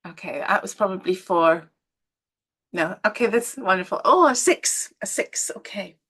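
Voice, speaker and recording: A middle-aged woman with an Irish accent, hosting a live online webinar and encouraging participants with gentle, soft-spoken asides. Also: medium pitch, lively intonation, moderate pace, slightly rough. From Ireland. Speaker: webinar host and women's coach.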